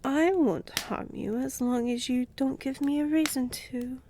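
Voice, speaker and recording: Woman playing a slow, haughty vampire noblewoman in echoing hall. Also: sits high, fairly even intonation, darkish tone, slight background noise. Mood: teasing, composed. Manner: slow, imperious, teasing, dropping into breathy whispers. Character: haughty female vampire noble, menacing, seductive, coolly commanding. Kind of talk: dramatic audio roleplay acting. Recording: echoey hall-like reverb.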